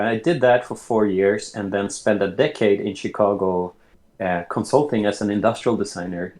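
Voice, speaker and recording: A man in his late thirties from Sweden, telling his life story as an interview guest in an unhurried way, often restarting his sentences. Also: lowish voice. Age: late 30s. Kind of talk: casual conversation.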